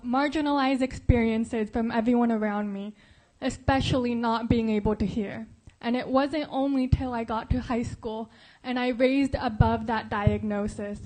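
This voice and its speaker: A young woman from the Philippines, a pageant contestant, giving an earnest speech and a measured scripted voice-over. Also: fairly high pitch, dark tone, rough recording.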